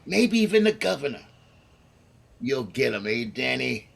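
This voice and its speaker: Man playing condemned gangster, rough gravelly voice, menacing, commanding, swinging pitch, old-time radio crime drama. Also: sing-song, a little slow, heavily acted. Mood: coaxing, menacing. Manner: tough, slangy, commanding, menacing talk. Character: scheming, vengeful condemned gangster in his jail cell.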